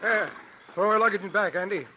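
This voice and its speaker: An actor playing a bold, protective showman with a courtly, unhurried manner in a 1950s radio comedy-drama. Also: high-pitched, lightly acted. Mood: easy confidence. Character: bold strongman showman, calm protective mentor. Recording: dull, noisy old radio broadcast, clicks.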